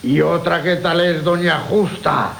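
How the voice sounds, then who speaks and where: An actor playing a sly, gossipy go-between in a classical radio comedy, wordy, theatrical, mocking and persuasive. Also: male voice, a little high, a little slow, gravelly, a bit bright. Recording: hissy old radio broadcast.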